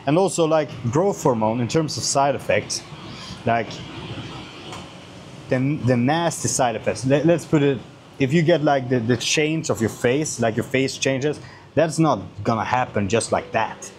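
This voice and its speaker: A man's voice: mid-range voice, measured pace, darkish tone, slight background noise. A man from Sweden with a Swedish accent talks casually and bluntly as a YouTuber answering questions, swearing often and repeating phrases for emphasis.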